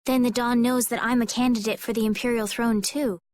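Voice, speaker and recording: A woman voicing a polite, gentle but determined young princess in an animated role-playing video game. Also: a little high, a little fast, no echo, lightly acted. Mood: earnest, polite. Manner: polite, sincere, hesitant. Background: faint background music.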